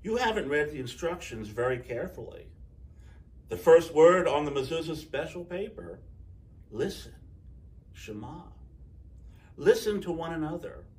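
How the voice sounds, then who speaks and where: A man voicing a wise, calm village rabbi in a storybook, instructing in a measured way. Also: fairly high pitch, distant mic. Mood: wise, instructive.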